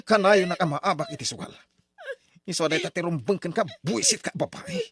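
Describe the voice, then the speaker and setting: An actor with a Filipino accent playing an abusive, hot-tempered younger man in a radio drama, loud and barking. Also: sits high, huge pitch swings, fairly quick, exaggerated voice. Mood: furious, menacing. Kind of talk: melodramatic radio drama acting.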